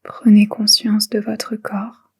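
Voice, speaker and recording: A woman leads a guided relaxation in a very breathy, whispered tone. Her delivery is extremely slow, with short phrases set apart. The melody stays quite flat and soothing throughout. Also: mid-range voice. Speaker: guided meditation instructor. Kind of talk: guided relaxation meditation, soft and unhurried.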